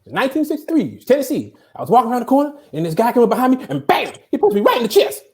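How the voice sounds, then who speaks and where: Old cranky barber guy, way up high, super swoopy, folksy braggy comedy voice. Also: very fast, full character voice. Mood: boastful. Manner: animated, folksy, tall-tale telling. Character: cranky, boastful old male barbershop storyteller. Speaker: actor in a table read. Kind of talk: comedy table read.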